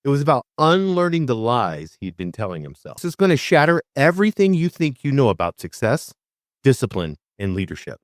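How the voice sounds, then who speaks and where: A man's voice: medium pitch, big pitch swings, fairly quick, no echo, studio quality. A man hosting a podcast, opening with an enthusiastic intro and then asking questions casually. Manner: enthusiastic, hype-style intro. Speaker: podcast host. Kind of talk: podcast interview.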